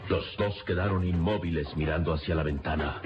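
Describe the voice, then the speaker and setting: A male actor narrating an adventure radio serial in a solemn, measured and suspenseful manner. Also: low-pitched, noisy background, lightly acted. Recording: dull lo-fi radio, loud music behind.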